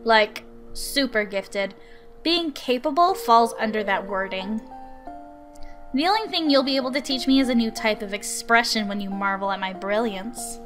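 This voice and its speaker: A woman's voice: fairly high pitch, animated, normal speed, over music, lightly acted. Smug, bratty girl prodigy, played by a woman. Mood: boastful. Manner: smug, boastful, dismissive. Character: arrogant gifted girl prodigy, bratty and dismissive. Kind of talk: visual novel character reading.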